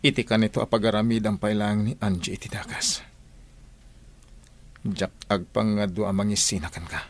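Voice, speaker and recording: An actor with an Ilocano accent playing a sincere, devoted young suitor in a radio drama, with a heartfelt, measured delivery. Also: male voice, on the low side, level tone. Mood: resigned, sincere. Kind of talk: Filipino radio drama acting. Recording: faint background noise.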